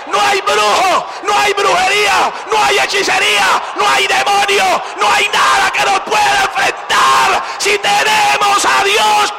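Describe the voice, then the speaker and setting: Fervent shouting preacher, high and bright. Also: male voice, vocal fry, rough recording. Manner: fervent, repetitive exhortations, shouting. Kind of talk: shouted Pentecostal sermon. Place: church service.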